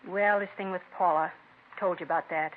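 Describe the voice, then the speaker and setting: Actress as defiant female suspect, 1950s radio crime drama. Curt, indignant, rapid delivery, thin vintage sound. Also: on the low side, fairly even intonation, slight background noise, close to an everyday voice. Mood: bitter. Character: defiant, sharp-tongued woman murder suspect. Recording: tinny vintage radio recording.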